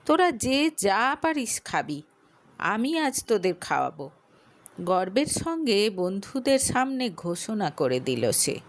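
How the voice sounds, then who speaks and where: A woman narrating a romantic audio story, reading slowly and expressively. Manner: slow, expressive reading with lively pitch.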